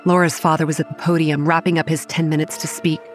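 A woman acts out a proud young manager who narrates a horror audio drama. Her voice sits low, with soft music beneath it. She is stern and measured, with a combative edge. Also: little pitch movement, natural voice. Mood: tense narration. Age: young adult. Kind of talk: first-person dramatic narration with dialogue.

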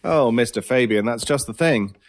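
An actor playing a cool, teasing, mysterious British stranger in a horror radio drama, with a measured, theatrical and wry way of talking. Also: male voice, mid-range voice, close to an everyday voice. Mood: ominous, persuasive. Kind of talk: old time radio style horror audio drama.

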